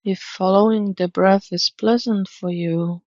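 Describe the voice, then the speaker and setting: A woman narrating a guided meditation in a soothing, gentle, measured manner. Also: medium pitch, steady tone, no echo, muffled recording.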